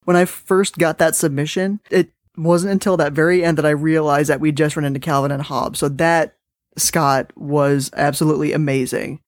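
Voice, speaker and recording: A man's voice: a little high, steady tone, moderate pace, no room sound, clean studio sound. A man hosting a podcast in a casual, conversational style. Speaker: podcast host.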